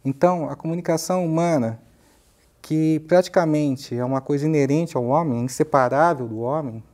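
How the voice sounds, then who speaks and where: Brazilian guy in his 50s, prof being interviewed, mellow voice, explains stuff carefully, pauses hunting for words. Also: fairly high pitch. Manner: measured, halting, searching for words. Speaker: university professor, interview guest. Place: TV studio. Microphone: lapel mic.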